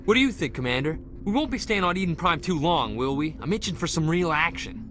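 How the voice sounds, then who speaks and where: Eager, high-voiced young marine corporal. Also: male voice, quick pace. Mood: eager, restless. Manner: excitable, earnest. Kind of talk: video game dialogue acting. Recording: loud music behind the voice. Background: music.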